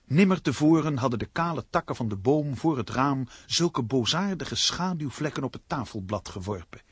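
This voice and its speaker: An actor narrating a literary suspense story in a radio drama as a grave man, with ominous, measured phrasing. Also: mid-range voice, expressive, breathy edge, dry recording.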